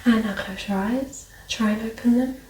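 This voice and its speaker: ASMR doctor, female. Gentle, soft-spoken to whispered, reassuring clinical delivery; slightly distant mic, noisy track. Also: fairly high pitch, steady tone, normal speed. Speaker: ASMR performer playing a doctor in a medical exam roleplay. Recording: roomy, distant mic, clear noise and clicks.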